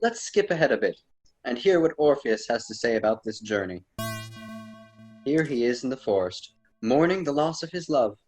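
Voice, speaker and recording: A man's voice: medium pitch, very animated, moderate pace, a little mellow, soft background music, lightly acted, low-quality recording. A man playing a patient ancient Roman poet and mentor in a radio drama, speaking in formal, old-fashioned phrases. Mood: patient, persuasive. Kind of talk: theatrical radio drama dialogue.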